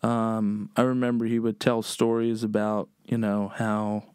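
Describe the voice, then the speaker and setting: Rambling male storyteller, smooth dry voice. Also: lowish voice, little pitch movement, measured pace, a little mellow, no echo. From Pennsylvania, US. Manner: rambling, many "um"s, laid-back storyteller. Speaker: podcast guest, chef. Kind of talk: casual storytelling.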